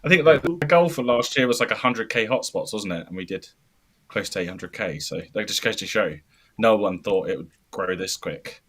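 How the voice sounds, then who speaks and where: A man's voice: fairly low pitch, animated, normal speed, fairly bright tone, husky. A man on a podcast panel, talking in an easygoing, conversational way and checking for agreement. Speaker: panel guest. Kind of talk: casual podcast chat.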